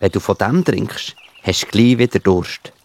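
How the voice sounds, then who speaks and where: A man playing a calm, kindly teacher in a children's Bible audio drama, explaining. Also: on the low side, measured pace, noisy background. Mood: calm, assured.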